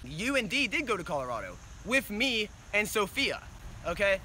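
A man acts out a brash young slacker in an amateur comedy skit, swaggering and repeating himself in slangy, profane bursts. His high, sharp voice swings animatedly, with some noise from the location behind it. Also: big pitch swings, normal speed, full character voice, rough recording. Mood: angry, fed up. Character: cocky, profane young slacker guy, exasperated with his friends.

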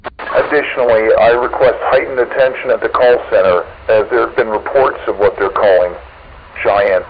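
A man playing a cautious, uneasy county sheriff giving a halting official alert over police radio in an analog horror piece. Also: lowish voice, fairly even intonation, close to an everyday voice. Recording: noisy, dull police radio transmission.

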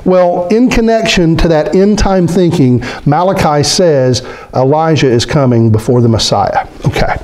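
A middle-aged man preaches from a stand mic in a small church hall, his voice sitting a bit higher than most men's. He is animated and conversational, tossing questions to his listeners and sinking low to voice scripture figures. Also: a little slow. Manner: animated, gestures a lot, asks the congregation questions. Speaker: pastor. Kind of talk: sermon. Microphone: stand mic in front of speaker.

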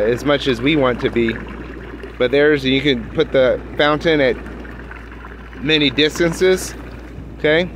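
A man's voice: on the high side, noisy background. A man walking around his backyard hot tub with a phone camera, explaining features in a rambling, self-correcting way full of fillers. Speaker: hot tub owner reviewing his own tub. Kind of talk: casual handheld product walkthrough. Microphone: phone camera held by the speaker.

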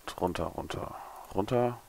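A young man, a little hungover and hoarse, mumbling and thinking aloud as he builds in a game tutorial. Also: fairly low pitch, normal speed, darkish tone, a little hiss or hum. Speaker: gaming tutorial creator. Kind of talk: casual commentary while building.

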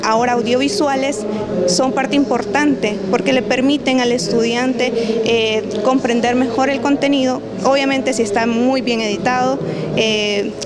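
Woman, 30s, institutional interviewee; slightly high, hesitant, heavy crowd noise behind. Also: fairly even intonation, unhurried, low-quality recording. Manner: hesitant, with fillers and restarts. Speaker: interviewee, teacher-training institute staff. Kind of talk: interview answer. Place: indoors at an event venue. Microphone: handheld reporter mics held up close.

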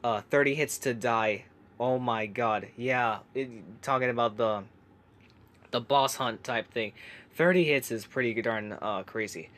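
A young man reacting to viewer comments in casual chat from his laundry room, keeping quiet so his family can sleep, with many fillers and restarts. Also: medium pitch, measured pace. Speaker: YouTuber reading comments.

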